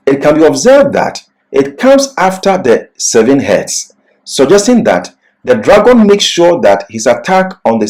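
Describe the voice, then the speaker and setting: Slow, animated man teaching scripture. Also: mid-range voice, rough recording. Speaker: Bible teacher. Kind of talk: scripted Bible lecture with slides.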